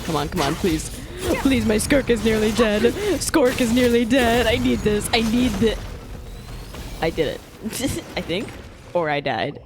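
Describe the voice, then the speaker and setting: A woman's voice: on the high side, animated, a little slow. A woman giving casual, joking, exclamation-filled commentary as she plays a game. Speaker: gaming streamer. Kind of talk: casual reactive gameplay commentary. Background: game music.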